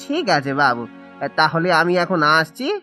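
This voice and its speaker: Humble cartoon fish seller, played by a man: high, bright, very animated, deferential. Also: normal speed, soft background music. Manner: deferential, imploring, calls out like a vendor. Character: humble, pleading village fish seller, a working father. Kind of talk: cartoon voice acting in Bengali village story.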